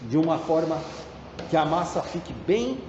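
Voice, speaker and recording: A man with a Brazilian accent giving a hands-on tutorial in a deliberate, step-by-step explanatory way. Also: on the high side, lively intonation. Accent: Brazilian Portuguese. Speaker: tradesman demonstrating door painting. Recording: dull, echoey, reverberant room with background noise.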